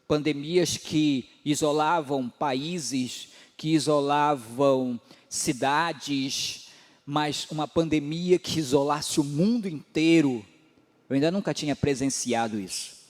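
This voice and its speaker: A man's voice: a little high, slow pace. Male pastor in his forties, echoing hall. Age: 40s. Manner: preaching with swelling emphatic rises and drops. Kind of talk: sermon. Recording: long hall reverb.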